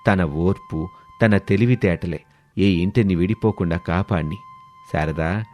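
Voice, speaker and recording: A proud old patriarch played by a male narrator speaks low and solemnly, with tender sentiment. It is a storybook family drama with music underneath. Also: darkish tone.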